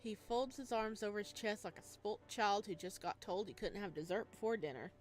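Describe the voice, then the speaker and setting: A woman gaming streamer reading a visual novel aloud, with expressive narration and playful, casual asides. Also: mid-range voice, fairly quick, soft background music.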